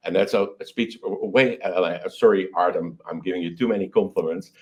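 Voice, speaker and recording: Deep-voiced man, hesitant but keen. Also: fairly quick, a little mellow. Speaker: company chief executive being interviewed. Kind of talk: conversational interview answers.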